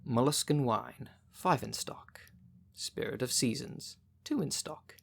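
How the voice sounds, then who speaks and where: Casting: performer as sardonic female witch running a potion shop, mid-low androgynous pitch, matter-of-fact and bossy, ASMR roleplay delivery. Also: lively intonation, takes it slowly, vocal fry, full character voice. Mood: matter-of-fact, dry. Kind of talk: ASMR roleplay, speaking directly to the listener.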